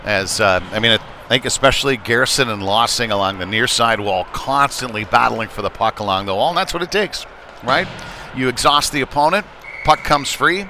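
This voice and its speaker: A man's voice: mid-range voice, normal speed, sharp tone, slight background noise. A man giving live play-by-play commentary of a youth ice hockey game, with conversational, folksy asides.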